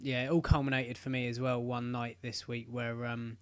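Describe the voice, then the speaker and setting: A man co-hosting a podcast in casual chat, halting with many ums, still getting over an illness. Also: mid-range voice, flat delivery, clear, resonant tone, no echo. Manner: halting, lots of ums and uhs. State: recovering from illness. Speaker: podcast co-host.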